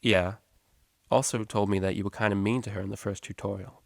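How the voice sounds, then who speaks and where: A man's voice: lowish voice, fairly even intonation, brisk, vocal fry, dry recording, studio quality. A man voicing a laid-back, slangy young male pony student in a story reading. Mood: reassuring. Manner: slangy, breezy.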